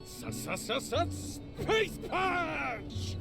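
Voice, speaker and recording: A grandiose, mock-heroic protector from a video game, boastful on top and insecure underneath. The voice is fairly high and swings widely in pitch. Phrases are slow and stretched out for emphasis, with faint music behind. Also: male voice, very animated, vocal fry, full character voice. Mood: bombastic. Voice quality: theatrical, exaggerated delivery. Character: pompous, boastful self-styled protector hero, insecure underneath.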